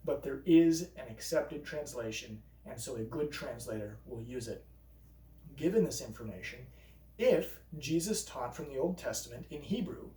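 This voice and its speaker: Measured male lecturer in a roomy space. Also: a little high, warm tone, recorded from a distance. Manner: measured, reasoning step by step through objections. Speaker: preacher and channel presenter. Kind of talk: scripted explanatory video essay. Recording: roomy echo, voice a bit off-mic.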